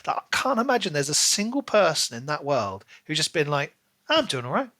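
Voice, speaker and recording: A man with a bright, crisp-edged voice co-hosts a casual book podcast. He talks in animated, rambling asides, and the sound is dry with very little room. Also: fairly high pitch, fairly quick, dry recording. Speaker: podcast co-host.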